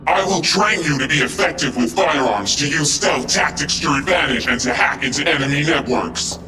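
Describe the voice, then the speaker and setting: An impassive military training simulation, voiced by a man, gives procedural instructions. The male voice is formal and crisp, echoing from a little way off, with music behind it. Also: a little high, normal speed, far from the mic, lightly acted. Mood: impassive, authoritative. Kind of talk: scripted instructional narration.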